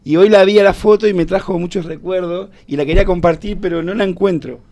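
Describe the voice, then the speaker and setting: A man rambling excitedly on a radio show, mocking himself. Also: high-pitched, quick pace, muffled recording. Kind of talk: joking radio chat.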